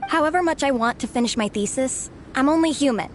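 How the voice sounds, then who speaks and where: A woman playing an excitable young student and comic fanatic in a video game, theatrical and over-earnest. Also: sits high, fast talker, a little hiss or hum, natural voice. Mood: pleading, defeated. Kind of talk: video game character dialogue. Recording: game music behind voice.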